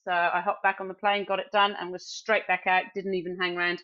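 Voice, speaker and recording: A young woman with a Welsh accent, co-hosting a casual podcast, upbeat and quick to laugh. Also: fairly low pitch, flat delivery, muffled recording. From Wales. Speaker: podcast co-host.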